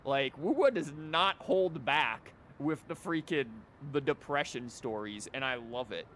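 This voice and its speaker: Wry man streaming over rumbly noise. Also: animated, measured pace, a bit bright. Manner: wry, rambling, mock-quoting. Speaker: game livestreamer. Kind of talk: casual live game commentary.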